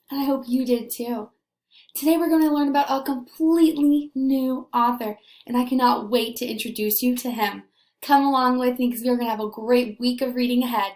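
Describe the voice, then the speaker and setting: A woman teacher giving an expressive read-aloud lesson, asking young children questions and pausing for answers. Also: sits high. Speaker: elementary school teacher. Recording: echoey room.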